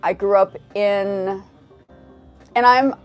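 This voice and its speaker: Host, forties woman, mid-pitched voice, loose rambling chat to viewers, faint music under her. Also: fairly even intonation, slow, smooth. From grew up in South Africa, the United States and Japan. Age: 40s. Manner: rambling, full of restarts and asides to chat. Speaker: livestream host. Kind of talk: casual livestream chat.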